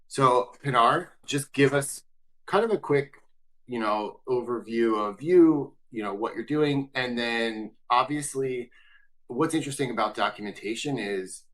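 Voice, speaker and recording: A man's voice: mid-range voice, measured pace, fairly bright tone, poor audio. A man hosting a business webinar, asking long, loose questions full of fillers. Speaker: webinar host.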